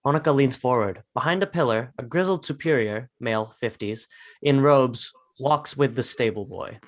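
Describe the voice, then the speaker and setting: A man's voice: medium pitch, normal speed. A man narrating a screenplay, reading out scene headings and stage directions as scripted narration.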